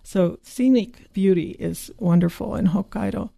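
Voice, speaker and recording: Woman from Japan, guidebook author and tour guide, warm dark tone, calm and informative, dry studio sound. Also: mid-range voice, measured pace, creaky, no echo. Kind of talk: radio interview.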